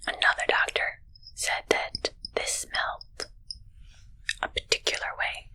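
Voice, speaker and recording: A woman performs an ASMR roleplay in a crisp, bright whisper. She plays a strange, suspicious medical examiner who talks in stilted, clipped phrases. Her delivery is very slow and halting.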